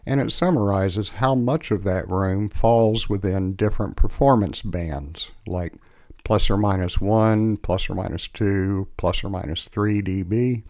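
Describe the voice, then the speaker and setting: Low-voiced man narrating a software demo. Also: measured pace, no room sound, studio quality. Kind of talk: scripted tutorial narration.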